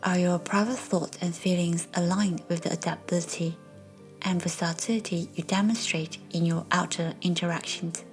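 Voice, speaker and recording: A woman narrating a guided meditation in a soft, breathy, soothing way, as a meditation guide. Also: lowish voice, steady tone, moderate pace. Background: soft background music.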